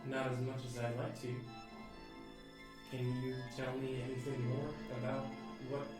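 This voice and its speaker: A man's voice: medium pitch, little pitch movement, moderate pace, over music. Man at a distance in an echoey room. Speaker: player in a tabletop role-playing game. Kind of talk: casual improvised role-play and banter.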